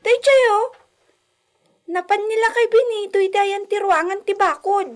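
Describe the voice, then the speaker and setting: A woman playing a small, plaintive daughter with a slow, childlike, pleading manner in a radio drama. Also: very high-pitched, slight background noise. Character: small plaintive girl, daughter pining for Mama.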